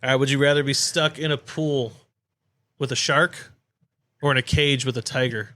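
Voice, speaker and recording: A man's voice: medium pitch, steady tone, normal speed, sharp tone, no echo. A man co-hosting a casual sports podcast, chatting in a joking, teasing manner. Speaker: podcast co-host.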